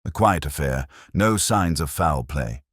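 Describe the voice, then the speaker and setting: A brisk Victorian detective as a male audiobook reader plays him, coolly analytical and precise. The voice is very low and moves expressively, recorded dry. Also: expressive, a bit bright, slightly rough, no echo, full character voice.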